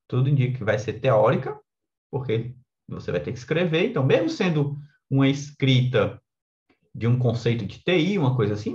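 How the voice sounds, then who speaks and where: A man's voice: mid-range voice, a little mellow. Male exam coach, Brazilian accent, mid-range voice, informal lecture with frequent check-in tags, slightly lo-fi sound. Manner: conversational, frequent tag questions seeking agreement.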